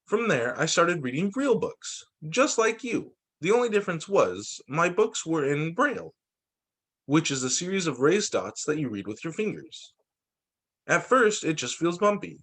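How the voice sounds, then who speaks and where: A man reading a picture book aloud to children as a teacher, in an expressive, dramatizing way. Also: fairly high pitch, normal speed. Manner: expressive, dramatizing quoted lines.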